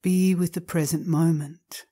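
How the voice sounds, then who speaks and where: Very slow, low-voiced woman guiding meditation. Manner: calm, measured, short phrases with long pauses. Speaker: meditation guide. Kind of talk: slow guided meditation reading.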